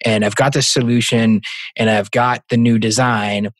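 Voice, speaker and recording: A man in a casual conversation, laid-back and joking, tossing in quick interjections. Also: medium pitch, level tone, no room sound. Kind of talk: casual tech podcast chat.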